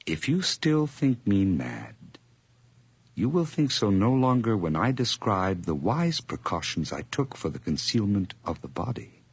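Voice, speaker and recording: An actor narrating as a nervous, obsessive man confessing a murder in a radio drama, his delivery intense and feverish, moving between hushed whispers and sudden outbursts. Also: on the low side, sing-song, measured pace, breathy edge, full character voice.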